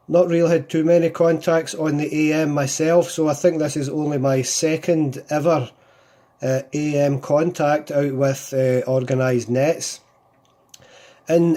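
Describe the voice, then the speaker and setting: Higher-voiced, mellow Scottish man on radio. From Scotland. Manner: repeats phrases, uses radio call phrases. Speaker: amateur radio operator. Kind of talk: casual CB radio contact chat.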